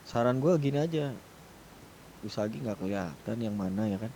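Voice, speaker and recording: Mellow man in gaming chat. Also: lowish voice, lively intonation, moderate pace, slight background noise, rough recording. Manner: colloquial slang. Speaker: gamer planning with group. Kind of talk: casual group chat during online roleplay gaming.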